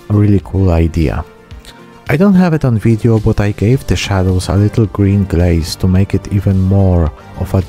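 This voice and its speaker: A man's voice: deep voice, dark tone. A man in his thirties narrating a miniature painting vlog as the channel's host.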